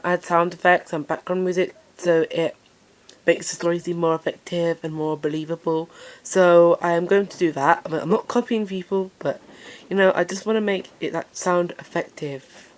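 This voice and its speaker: A woman with a deep voice introducing her story reading channel in a nervous, hesitant way, full of fillers. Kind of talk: casual self-introduction to camera.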